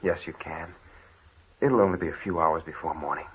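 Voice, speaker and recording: An actor playing a steady, protective husband in a 1930s radio horror drama. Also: male voice, a bass voice, fairly quick, breathy edge, full character voice. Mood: soothing. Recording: muffled, narrow-band vintage radio recording.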